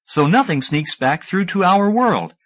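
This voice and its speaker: A man's voice: sits high, big pitch swings, measured pace, fairly bright tone, voice pushed up, full character voice. A man voicing a slovenly male mad scientist in an audiobook, with an offhand, whining manner. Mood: cautious.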